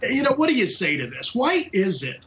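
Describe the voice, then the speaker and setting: A man's voice: sits high, wildly swooping pitch, brisk, fairly bright tone, highs cut off. An American man giving casual opinion commentary as a guest on a TV panel.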